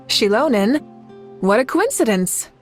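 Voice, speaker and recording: Young female leader for a game: composed, assured, articulate, slightly high pitch. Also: very animated, slow pace, faint music behind. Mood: amused. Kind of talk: video game character dialogue.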